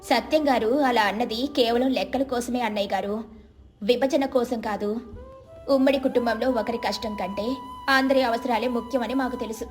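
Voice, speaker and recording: Casting: practical village daughter-in-law, voiced by a woman, polite, reasoning, higher-pitched, music behind. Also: fairly even intonation, moderate pace, close to an everyday voice. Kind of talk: moral story character dialogue.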